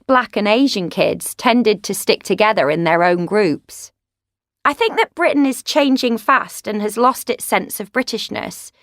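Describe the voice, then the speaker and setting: Brief: actress as a young woman from Leeds, earnest and reflective, higher-pitched voice, dry studio sound. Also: a bit bright, dry recording. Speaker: speaker in a course recording. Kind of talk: scripted monologue.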